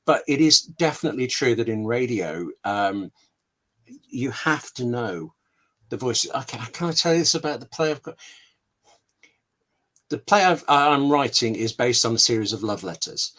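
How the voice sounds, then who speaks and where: A British man speaking as a guest scriptwriter, giving conversational writing advice in an emphatic, discursive way with dramatic pauses. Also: mid-range voice, lively intonation, a bit bright.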